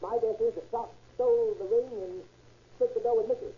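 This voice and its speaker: A man's voice: extremely high pitch, little pitch movement, brisk. A man playing a hot-tempered, threatening small-time gangster in an old radio crime drama, pushy and full of tough slang. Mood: suspicious, vengeful. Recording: tinny, band-limited old radio recording.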